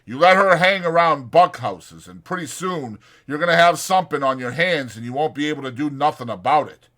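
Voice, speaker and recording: A man voicing a rough, bullying ranch hand who mocks and threatens. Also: fairly high pitch, expressive, a little fast, fairly bright tone, pitched above the performer's own voice. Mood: taunting. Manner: mocking, bullying.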